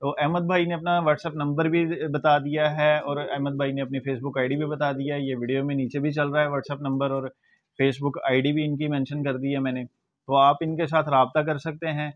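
A man's voice: on the high side, little pitch movement, moderate pace, lo-fi sound. A man in his thirties with a Pakistani Urdu accent, hosting a pigeon loft visit and interviewing the owner, full of eager praise. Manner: enthusiastic, keeps repeating praise. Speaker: pigeon channel host. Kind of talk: casual farm visit interview. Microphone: lapel mic.

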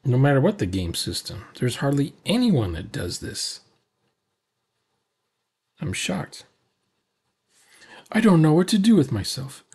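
An older man with a husky voice giving casual reaction commentary on a roleplaying game. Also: on the high side, wildly swooping pitch, a little slow, pauses often, a little mellow. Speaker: video host commenting on a game recording.

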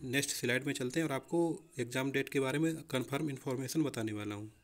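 A man's voice: medium pitch, fast. A young man explaining exam preparation informally as a coaching teacher, often addressing listeners as friends.

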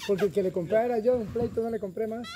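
Tour guide on a boat. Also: male voice, high-pitched, racing. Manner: slangy, pointing things out. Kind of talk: casual guiding chat, Spanish and English. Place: open tour boat on a jungle river. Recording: loud outdoor noise.

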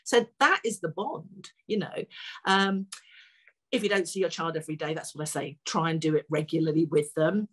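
Woman, 60s, slightly low husky voice, informal and encouraging advice talk over a video call. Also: moderate pace, fairly bright tone. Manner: encouraging, conversational. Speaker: guest speaker. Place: furnished room at home. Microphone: video call, laptop microphone.

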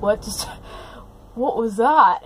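Woman reaction commentator, excitable, exclamatory, unhurried pace, fairly high voice, music faintly behind. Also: breathy edge.

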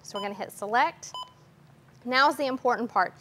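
Higher-voiced young woman demonstrating, noisy background. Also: normal speed, pushed and bright. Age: young adult. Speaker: guest demonstrator. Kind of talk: instructional step-by-step demonstration. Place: TV station weather office, indoors. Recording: noticeable background noise.

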